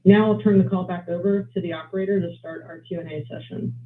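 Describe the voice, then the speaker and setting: An executive giving measured, businesslike answers on a company earnings call. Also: medium pitch, steady tone. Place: conference call. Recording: roomy, noisy phone-line conference call audio.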